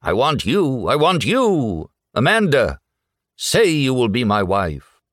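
A man's voice: medium pitch, wildly swooping pitch, slow, a bit bright, dry recording, studio quality, voice pushed up, full character voice. A man voicing a hot-tempered, passionate romantic hero with an intense, melodramatic manner in a story reading. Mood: ardent. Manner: intense, melodramatic, murmuring to ardent.

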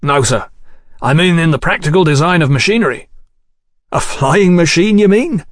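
A man's voice: fairly high pitch, animated, normal speed, no echo, clean studio sound, voice pushed up. A man voicing a keen, proud British engineer in an audiobook, earnest, technical and chummy. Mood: keen, explanatory. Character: keen, proud male British engineer explaining his machines.

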